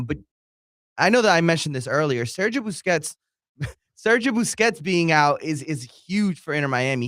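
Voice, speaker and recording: A man's voice: on the high side, a bit bright, no room sound, clean studio sound. A man co-hosting a soccer talk show, offering analysis in a conversational way. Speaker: co-host and producer.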